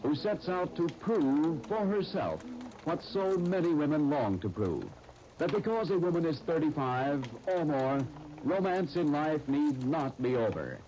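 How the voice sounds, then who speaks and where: An American man announcing and narrating from a script in polished, deliberate 1930s radio style. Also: fairly high pitch, animated, dark tone, muffled recording. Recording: noisy 1930s radio broadcast recording.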